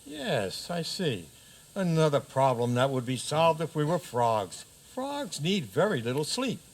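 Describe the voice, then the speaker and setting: A man playing a pompous, hurried frog king who presides as judge in a radio theater comedy, with regal, hesitant pronouncements. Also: a little high, big pitch swings, heavily acted, rough recording. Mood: judicial, weary.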